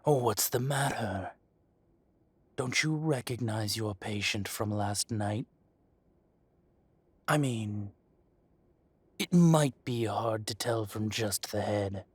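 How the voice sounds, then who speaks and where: A man acting as a possessive dragon shifter mafia boss in a roleplay audio drama, speaking with mock politeness and deliberate, taunting menace. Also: on the low side, husky. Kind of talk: scripted roleplay audio drama, addressing the listener.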